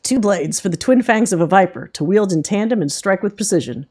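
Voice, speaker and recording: A woman's voice: mid-range voice, lively intonation, a little fast, warm tone, close to an everyday voice. A woman playing a folksy, confident monster-hunter mentor. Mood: instructive. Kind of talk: reading game dialogue in character.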